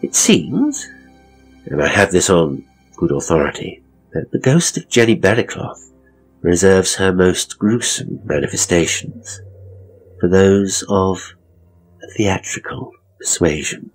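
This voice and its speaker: A man playing a pompous, theatrical ghost tour guide, telling gruesome tales with suspenseful, drawn-out phrasing in a horror audio story. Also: deep voice, expressive, husky, faint music behind, heavily acted, low-quality recording. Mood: sly, theatrical.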